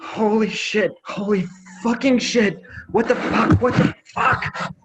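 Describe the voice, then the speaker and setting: A man's voice: high-pitched, takes it slowly, voice pushed up. A man acting as a panicked, foul-mouthed scout, stammering frantically as he reports in. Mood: shocked. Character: panicked, foul-mouthed young male scout on walkie-talkie.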